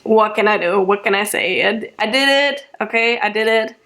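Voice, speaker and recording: A woman's voice: medium pitch, normal speed, a bit bright. A young German woman chatting casually to camera, rambling and laughing often. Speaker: vlogger.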